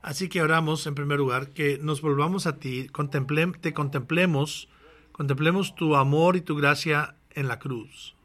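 An earnest pastor calls his congregation to action and then moves into prayer. His voice sits a little higher than most men's. He often breaks off and restarts his phrases. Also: steady tone, normal speed, fairly bright tone. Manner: earnest, exhorting, with frequent restarts. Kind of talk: sermon with closing prayer.

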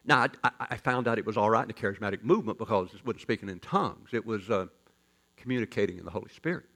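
A man pastor preaching a sermon as folksy, joking storytelling, with sudden loud rises in his delivery. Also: on the low side, fast talker.

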